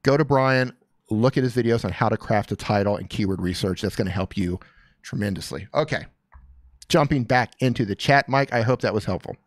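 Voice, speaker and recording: A man's voice: lowish voice, brisk, no echo. A man hosting a live stream, giving casual, upbeat coaching advice on channels and reading viewer comments aloud. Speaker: YouTube coach reviewing channels.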